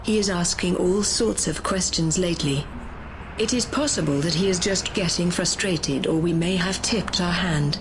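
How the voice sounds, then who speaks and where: Woman acting a ruthless, low-voiced female schemer, noisy background. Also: moderate pace, close to an everyday voice. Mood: calculating. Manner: bossy, menacing. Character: scheming, ruthless power-hungry woman, impatient conspirator in sci-fi. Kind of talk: sci-fi audio drama acting.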